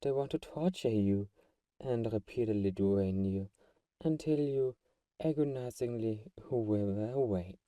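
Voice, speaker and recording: A very mellow, dark-toned male vampire, played by a man speaks in an intimate audio drama monologue. He is teasing and possessive toward his hunter friend, with a slightly low voice. The sound is very dry, with no room around it. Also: unhurried, no echo, distant mic, natural voice. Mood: confessional, wry. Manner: teasing, sighs and soft chuckles.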